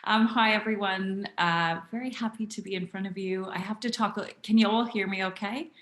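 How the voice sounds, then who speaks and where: A woman's voice: mid-range voice, fairly even intonation, normal speed, fairly bright tone. Canadian-raised woman, chatty, echoey room. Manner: chatty, frequent fillers, keeping voice down. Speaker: nutrition presenter. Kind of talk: casual online presentation. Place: home. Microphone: video call. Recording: echoey room on a video call.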